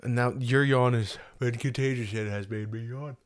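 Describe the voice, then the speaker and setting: Male podcast co-host, playful, teasing, mid-pitched voice, dry close sound. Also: steady tone, moderate pace, no echo. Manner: playful, teasing, argues back. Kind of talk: casual chat.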